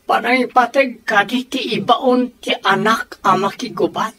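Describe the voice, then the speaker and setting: A woman's voice: on the high side, a little slow, a bit bright. A woman acting in an Ilocano radio drama as an earnest grown child who pleads solemnly and emphatically for peace with warring parents. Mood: earnest, pleading.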